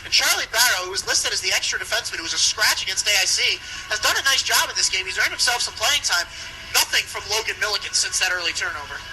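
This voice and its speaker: A man doing live play-by-play commentary of an ice hockey game for radio, following the action briskly. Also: high-pitched, animated. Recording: noisy live radio sports broadcast.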